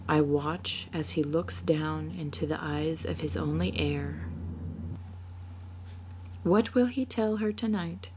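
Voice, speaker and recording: A woman narrating a written story in a deliberate storytelling manner, as an author reading her own work. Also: sits low, background noise.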